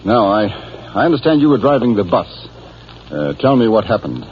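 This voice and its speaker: A courteous institution superintendent, official yet hesitant, talks quickly. It is 1940s radio drama on a dull old recording with faint noise. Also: male voice, on the low side, very animated. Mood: polite, businesslike. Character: courteous, hesitant male superintendent taking a statement.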